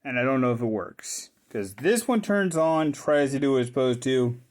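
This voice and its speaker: A man giving a casual hands-on show-and-tell as a hobbyist repairer, thinking aloud in short, halting, informal phrases. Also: medium pitch, expressive, darkish tone. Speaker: hobbyist repairer presenting his own video.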